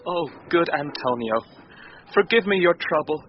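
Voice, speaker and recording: Melancholy young gentleman, lightish voice. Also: on the high side, expressive, a little slow, a little hiss or hum. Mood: tender, resolute. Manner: formal, earnest verse-like delivery. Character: courteous, melancholy young gentleman grieving his drowned sister. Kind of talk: classical Shakespearean radio acting. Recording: muffled radio drama recording.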